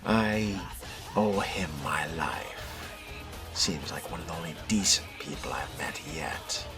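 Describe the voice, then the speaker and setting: A man voicing a guarded fledgling vampire in a video game role-play, with dry, deliberate, sardonic delivery. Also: deep voice, over music. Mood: measured.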